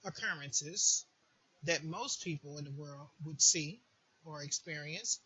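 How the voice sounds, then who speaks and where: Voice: mid-range voice, measured pace, pushed and bright, slightly rough, rough recording. A person giving an informal, earnest advice monologue, repeating phrases for emphasis, as a support group host would.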